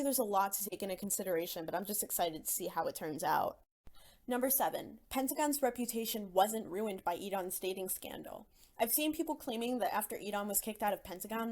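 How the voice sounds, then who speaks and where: A woman's voice: medium pitch, a little mellow, slight background noise. Opinionated young woman, slangy commentary. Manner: opinionated, casual slangy phrasing. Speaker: YouTube commentator.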